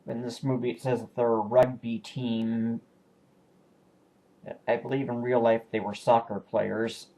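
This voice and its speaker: A man talks in an easygoing, unscripted way about his collection of discs. His voice sits at an ordinary middle pitch. He pauses often and corrects himself mid-sentence. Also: flat delivery, warm tone, low-quality recording. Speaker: video collector showing new purchases. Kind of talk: casual home video review.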